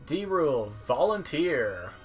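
A man's voice: fairly high pitch, sing-song, slow pace. Young man commentating casually over game music. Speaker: gameplay video commentator. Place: indoor room at home.

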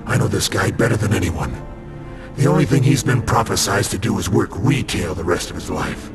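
A cruel male villain, the evil twin of a video game hero. His voice is very low and gravelly, with a smug, dismissive sneer. Loud music sits behind it. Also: a little fast. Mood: scornful.

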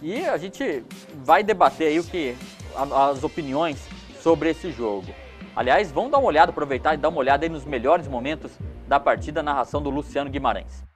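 A man in his thirties, a sports reporter in a TV studio, talking in a lively way with restarts. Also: fairly high pitch, fairly quick, soft background music. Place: indoor TV studio.

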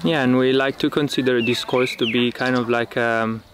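A young man, a permaculture teacher, speaking in a relaxed, thoughtful way with frequent fillers, recorded outdoors in a dry rural field. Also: mid-range voice, normal speed, crowd noise. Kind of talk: casual interview talk. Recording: outdoor countryside noise. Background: birds and insects in the countryside.

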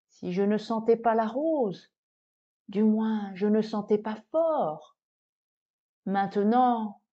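A woman voicing a vain, naive cheese in a recited poem, lamenting its fate in a plaintive, theatrical way with dramatic pauses. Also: on the high side, dark tone, highs cut off. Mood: mournful, resigned.

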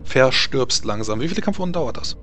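Male game master, mid-pitched, narrating tabletop play with light background music. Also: lively intonation, a little fast, sharp tone. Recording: music behind the voice.

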